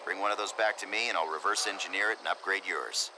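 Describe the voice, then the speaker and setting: Low-voiced, confident wasteland mechanic. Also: male voice, quick pace, background noise. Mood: friendly, scheming. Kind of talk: video game character acting.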